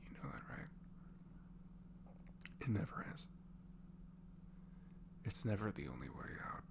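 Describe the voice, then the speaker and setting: A man softly improvising an intimate, comforting voice message to a friend, halting and casual, sometimes slipping into a murmur. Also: big pitch swings, in a whisper. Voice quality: low velvety, gravelly. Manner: gentle, halting, drifts into breathy murmurs, casual teasing.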